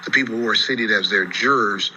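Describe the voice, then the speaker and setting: A man's voice: fairly low pitch, a little fast, sharp tone, a little hiss or hum, poor audio. An American man giving conversational legal commentary as an attorney, explaining things with frequent asides of "you know".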